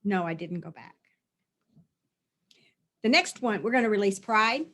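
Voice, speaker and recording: A woman's voice: medium pitch, expressive, measured pace, fairly bright tone. A middle-aged woman, a spiritual teacher, talking earnestly through a release session, often repeating and listing phrases.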